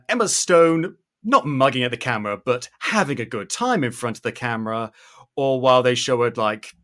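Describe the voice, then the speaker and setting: A man's voice: mid-range voice, fairly bright tone. A man hosting a casual pop culture chat, joking and opinionated in a nitpicky way. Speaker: podcast host.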